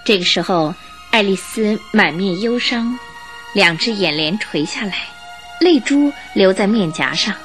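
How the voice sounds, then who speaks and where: A woman reading scripted narration for a radio drama in an expressive storytelling manner. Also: mid-range voice, measured pace, soft background music.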